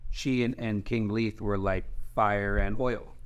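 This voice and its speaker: A man actor reading a measured, serious man of a fantasy realm at a screenplay table read. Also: on the low side, steady tone, clean tone, close to an everyday voice. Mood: grave.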